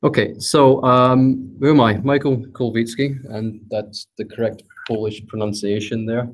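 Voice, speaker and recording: A man's voice: mid-range voice, fairly even intonation, measured pace. A man with a Scottish accent giving a technical meetup talk, hesitant, with many fillers and some self-deprecating humor. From Scotland. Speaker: presenter. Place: meetup room, also streamed online.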